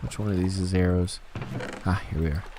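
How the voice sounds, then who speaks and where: A man with a slightly hoarse throat giving easygoing, casual commentary on his own gameplay and talking himself through each task. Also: low-pitched, steady tone, a little fast, dark tone, slight background noise. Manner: easygoing, talks to himself while playing. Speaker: gaming channel host.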